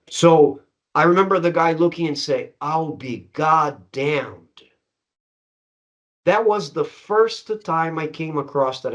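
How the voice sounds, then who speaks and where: A middle-aged man from Brazil, a native Portuguese speaker, hosting a podcast in English with an emphatic, storytelling way of talking. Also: mid-range voice. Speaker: podcast host; English teacher. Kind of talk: conversational storytelling and interviewing.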